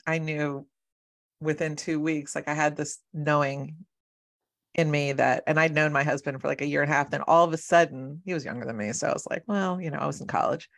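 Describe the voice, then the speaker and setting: A woman's voice: low voice, steady tone, fairly quick, creaky. A woman hosting an interview show, a matchmaker, encouraging and affirming toward her guest. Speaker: podcast host and matchmaker. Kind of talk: casual interview chat.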